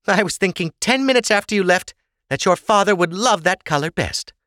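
A worried noble mother in an audiobook tale, played by a man. The matriarch speaks in a raised, lively pitch, loving and coaxing in her manner. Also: a little fast, no echo, studio quality.

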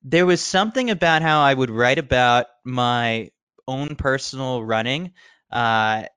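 A man speaking as a guest on a running podcast, relaxed and rambling, with many fillers and restarts. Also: mid-range voice, unhurried, clean tone, dry recording. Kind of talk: casual podcast chat.

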